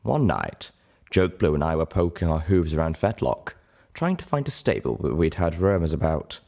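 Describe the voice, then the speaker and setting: A man's voice: a bass voice, very animated, moderate pace, vocal fry, dark tone, heavily acted. A man voicing a guarded, thoughtful grey female unicorn telling her tales in a storybook reading. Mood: matter-of-fact, wary.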